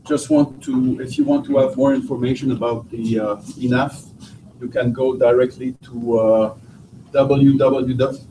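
A middle-aged man from Quebec with a French accent, giving a halting academic seminar talk full of restarts and fillers. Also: mid-range voice, steady tone, background noise. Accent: Québécois French. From Quebec, Canada. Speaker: guest lecturer, professor. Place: lecture room. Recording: distant, roomy lecture hall audio.

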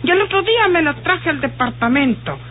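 Jealous rustic gossip, hesitant, animated pitch, radio drama, tinny old recording. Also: female voice, brisk, a little hiss or hum. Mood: aggrieved, confiding. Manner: folksy, hesitant, insistent. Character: jealous, gossipy, rustic woman confiding her suspicions.

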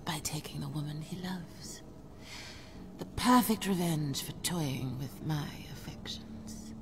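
Slow, menacing witch. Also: deep voice, noisy background. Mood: gloating. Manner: slow, theatrical, drawn-out delivery. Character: gloating villainous witch, imperious and menacing. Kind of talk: radio drama acting. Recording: music under the dialogue.

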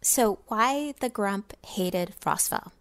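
A woman giving a dramatic, expressive storybook reading in sing-song rhyming verse. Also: medium pitch, slow pace, clean tone, no echo. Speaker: gaming video creator reading a story.

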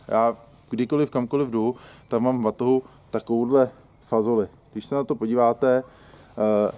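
A man's voice: mid-range voice, dark tone. A man in his thirties hosting a casual how-to video, chatty and joking, recorded outdoors in a yard. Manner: chatty, joking, colloquial Czech. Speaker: outdoor gear channel host. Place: outdoors in a grassy yard by a wooden shed.

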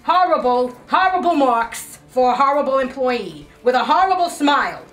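A woman's voice: mid-range voice, lively intonation, moderate pace, a bit bright, faint music behind, close to an everyday voice. Woman as a harsh, bullying store manager. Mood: scolding, menacing. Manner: harsh, scolding, threatening. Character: mean, bullying, petty female store manager. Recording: echoey room, distant mic.

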